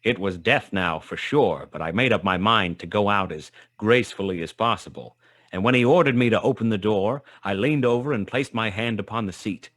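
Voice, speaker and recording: Low-voiced hardboiled detective, dry recording. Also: male voice, normal speed, a bit bright, dry recording. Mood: tense, wry. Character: hardboiled male detective narrating his brush with death. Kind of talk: scripted noir radio play narration.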